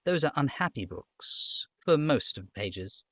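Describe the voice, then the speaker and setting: Casting: man playing innocent, earnest young game girl, pitched high, lively and deferential. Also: unhurried, dry recording, studio quality, heavily acted. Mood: thoughtful, innocent. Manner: deferential, innocent, matter-of-fact. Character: polite, earnest young girl chatting helpfully.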